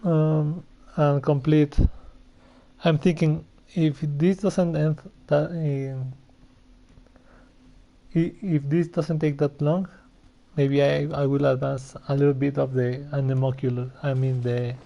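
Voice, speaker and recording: Gravelly-voiced male game streamer. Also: a little high, little pitch movement, slow, highs cut off. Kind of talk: casual gameplay commentary.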